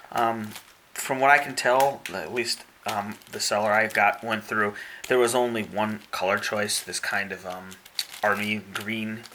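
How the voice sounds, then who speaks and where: A man giving casual unboxing narration as a product reviewer, explaining things in a measured, step-by-step way. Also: on the low side. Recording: faint background hiss.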